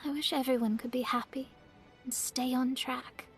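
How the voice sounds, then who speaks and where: Game role: young female astrologist, high voice, earnest and wistful, reflective pacing, faint music underneath. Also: steady tone, moderate pace. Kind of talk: scripted game voice acting.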